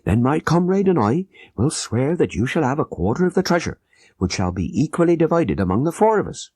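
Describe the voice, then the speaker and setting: Male narrator as grave Sikh guard in an audiobook; formal, urgent, husky, with wide swoops. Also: a little high, sing-song, fairly quick, darkish tone, dry recording, studio quality, voice pushed up. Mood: solemn persuasion. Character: grave conspiring Sikh guard, firm and persuasive.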